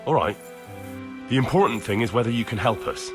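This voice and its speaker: A man's voice: mid-range voice, lively intonation, a little fast, close to an everyday voice. An actor playing an earnest, plain-spoken young medieval commoner in a video game, direct in his manner. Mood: worried. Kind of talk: video game dialogue acting. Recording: music clearly behind the voice.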